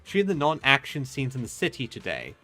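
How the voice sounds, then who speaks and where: A man streaming a game with casual commentary, halting and repeating words as he goes. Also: medium pitch, wildly swooping pitch, bright, forceful tone, soft background music.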